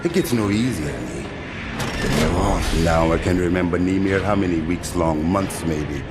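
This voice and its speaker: An actor playing a worn-down captive former soldier in a video game, resigned yet friendly, with halting speech. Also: male voice, low-pitched, lively intonation, heavy background noise. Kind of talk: cinematic video game acting. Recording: loud music and machinery behind.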